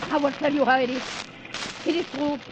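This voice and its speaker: An actress playing a scornful old voodoo priestess in a radio play, declaiming in broken English with a Haitian Creole accent. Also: female voice, high voice, fairly even intonation, brisk, dull audio, lightly acted. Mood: wary, defensive. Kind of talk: 1940s radio acting. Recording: noisy old radio, stormy effects.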